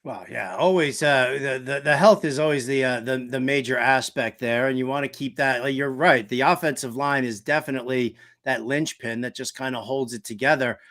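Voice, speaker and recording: A man hosting a casual sports talk interview, emphatic and halting as he builds his questions. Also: medium pitch, a bit bright.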